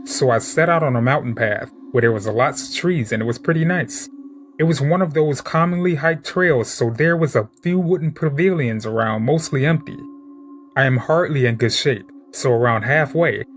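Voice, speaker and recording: A man narrating true-encounter horror stories in a steady, measured reading voice. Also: medium pitch. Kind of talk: scripted horror story narration.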